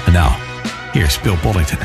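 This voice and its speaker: Male announcer over loud music. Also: low voice, animated, normal speed. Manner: clear announcer delivery. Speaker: show announcer. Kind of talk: scripted radio show intro. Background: loud music bed.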